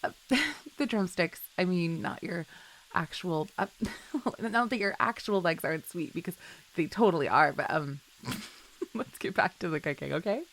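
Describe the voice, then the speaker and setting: A woman's voice: fairly low pitch, animated, a little slow, fairly bright tone, rough recording. A woman playing a chill, flirty best friend with a secret crush in an intimate audio roleplay, speaking in a giggly, hesitant, affectionate way. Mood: flustered, playful. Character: chill, flirty female best friend shyly confessing love. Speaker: voice actor.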